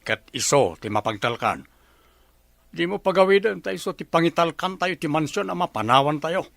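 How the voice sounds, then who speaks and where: A man's voice: a little high, lively intonation, normal speed, gravelly, a bit bright, dry recording. A man playing an exasperated but reasonable middle-aged husband in a radio drama, reproachful, with a Filipino accent.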